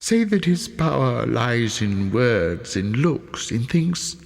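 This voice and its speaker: A man voicing a shaken, remorseful old miser in a storybook reading, halting and pleading as he speaks. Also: mid-range voice, animated, gravelly, in a large hall, heavily acted. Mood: earnest.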